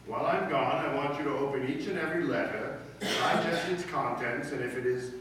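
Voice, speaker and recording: A man's voice: mid-range voice, fairly quick, fairly bright tone, natural voice. A man acting an irascible school principal who barks imperious orders in an old-time radio comedy. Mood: angry, bossy. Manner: barking, imperious commands. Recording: roomy, distant, noisy amateur stage recording.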